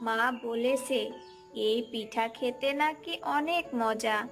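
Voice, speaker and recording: A woman voices a little daughter from a cartoon village tale. She is eager and affectionate, speaking in a fairly high voice. Light music runs behind her. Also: slow pace. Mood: eager, sweet. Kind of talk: Bengali cartoon folk tale acting.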